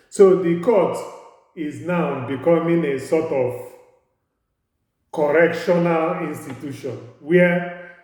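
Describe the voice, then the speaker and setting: A man with a Nigerian English accent giving a university law lecture, halting and repeating words as he explains. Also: fairly high pitch, darkish tone. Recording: very echoey room.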